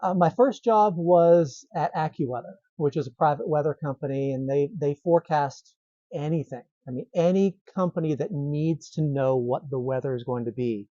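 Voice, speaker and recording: A man's voice: on the high side, moderate pace, warm tone, dry recording. A middle-aged man from Massachusetts, a meteorologist guest chatting in an easygoing way on a podcast. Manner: easygoing, laughs lightly, restarts phrases. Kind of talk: casual interview chat. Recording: slightly dull lo-fi sound.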